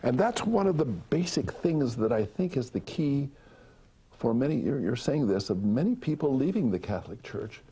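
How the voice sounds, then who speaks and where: An older priest hosting a television interview in a studio, chatty and genial, on a lapel mic. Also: male voice, mid-range voice, big pitch swings, moderate pace, warm tone, slightly rough. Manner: genial, chatty, laughs easily. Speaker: priest, TV host. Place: studio set, indoors.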